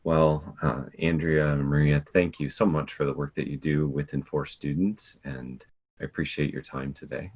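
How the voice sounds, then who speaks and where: A man hosts an education podcast and guides his guests with calm, affirming questions. His voice sits extremely low. He sounds steady and unhurried. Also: breathy edge. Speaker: podcast host. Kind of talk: podcast interview.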